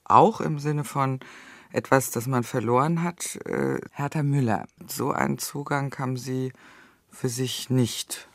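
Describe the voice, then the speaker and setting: A man interviewing a guest, asking formal, measured questions. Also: mid-range voice, fairly even intonation, vocal fry, no echo.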